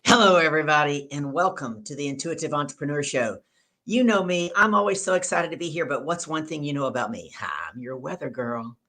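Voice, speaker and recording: A woman's voice: low voice, lively intonation, brisk, fairly bright tone. A woman hosting an online show, chatty and upbeat while telling stories. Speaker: host. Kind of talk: casual chat and storytelling.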